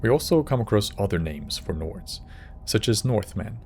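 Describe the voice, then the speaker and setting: Male video essay narrator, low pitch, scripted but casual, faint music bed. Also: big pitch swings, moderate pace, a little mellow.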